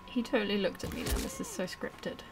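A woman's voice: medium pitch, huge pitch swings, fairly quick, very noisy. A woman giving casual, informal let's-play commentary, muttering to herself and to the game as she plays. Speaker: gaming video host.

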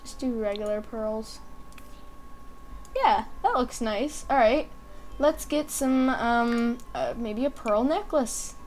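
High-voiced woman chatting at an unhurried pace. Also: a little mellow, slight background noise. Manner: thinking aloud in short offhand remarks. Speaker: gaming video host. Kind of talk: casual, chatty game commentary.

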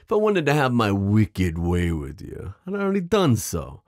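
A man voicing a smooth, possessive mafia boss in an ASMR roleplay, menacing yet protective, teasing the listener with pet names. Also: on the low side, wildly swooping pitch, moderate pace, darkish tone, no echo, clean studio sound. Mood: firm, condescending. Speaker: audio roleplay performer. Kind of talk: ASMR roleplay drama acting.

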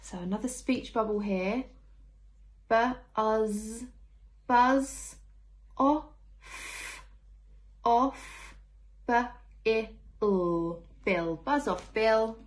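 Very slow-paced young woman teaching phonics. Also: fairly high pitch. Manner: sounds out words letter by letter, asks the children questions. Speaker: primary school teacher. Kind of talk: read-along phonics lesson for young children.

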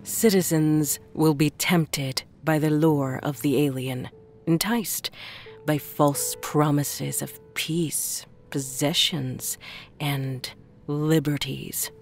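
A woman acting in an audio drama as a stern, devout church official, speaking in a solemn, deliberate, imperious manner. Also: deep voice, steady tone, darkish tone. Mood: grave, zealous. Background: faint music.

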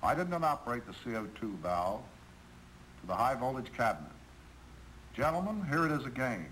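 A man's voice: medium pitch, sing-song, brisk, fairly bright tone. A man playing an earnest American railroad manager chairing a meeting in scripted 1950s training film dialogue. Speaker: railroad official leading a meeting. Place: conference room. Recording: noisy 1950s film soundtrack.

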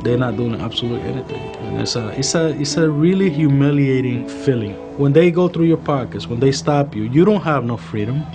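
A man in his thirties who grew up in the Dominican Republic speaks as a police officer in a documentary interview. He talks in an earnest, measured way, at a mid pitch, and repeats key phrases for emphasis. A clear guitar music bed plays under his voice. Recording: music bed playing behind voice.

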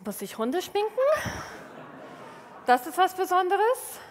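A woman in her thirties from Wisconsin, a native English speaker with an American accent, giving a lively, self-mocking stage talk in a large hall. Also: very high voice, slow pace, slight background noise. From Wisconsin, USA. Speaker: science slam speaker. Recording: reverberant hall.

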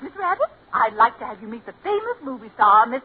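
Haughty, high-voiced radio wife. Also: female voice, big pitch swings, quick pace, a crowd in the background. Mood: gushing. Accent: American. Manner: indignant, gushing. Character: haughty, easily offended society club wife. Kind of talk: 1940s radio comedy acting. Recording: tinny 1940s radio recording, audience behind.

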